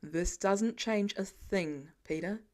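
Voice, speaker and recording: A woman's voice: medium pitch, slow, pitched below the performer's own voice, natural voice. A woman voicing a firm, affectionate, wisecracking father in an audiobook-style fan fiction reading. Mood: firm, reassuring. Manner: firm, casual, teasing.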